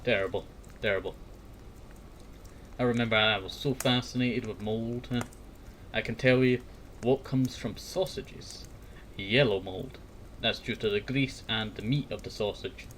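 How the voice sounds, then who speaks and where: A man's voice: fairly low pitch, a little slow, pauses often, a bit bright, a little hiss or hum. A man chatting casually in a meandering way, often repeating himself and sometimes reading bits aloud. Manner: meandering, repeats words and verbal tag phrases. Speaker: host of a fireside talk series. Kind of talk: rambling casual chat, some reading aloud.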